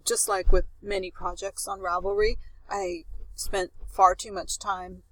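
A woman's voice: mid-range voice, flat delivery, measured pace, poor audio. A woman co-hosting a knitting podcast, talking in a chatty, enthusiastic way while recording outdoors at the beach. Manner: chatty, enthusiastic, trails off into fillers. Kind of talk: casual chat.

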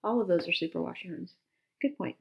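A woman with a lowish, gravelly voice talks through her finished knits with easy enthusiasm. She is a podcast co-host in casual chat, a bit sniffly, lingering over details. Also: expressive, brisk. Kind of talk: casual chat about knitting.

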